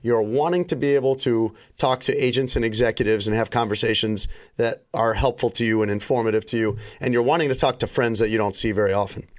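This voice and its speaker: Middle-aged male podcast host, mid-pitched, rambling casual storyteller, voice still slightly limited after recovery. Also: fairly even intonation, quick pace, few pauses. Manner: rambling storyteller, frequent fillers.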